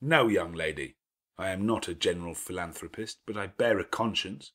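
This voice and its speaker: A man voicing a gruff, commanding, teasing middle-aged gentleman with elaborate, sardonic phrasing in an audiobook. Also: low voice, normal speed. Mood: sardonic, challenging. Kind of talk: dramatic audiobook character dialogue.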